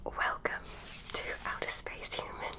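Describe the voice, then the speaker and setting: A woman's voice: measured pace, sharp tone. A woman voicing a curious, polite female alien examiner, whispering through an ASMR roleplay.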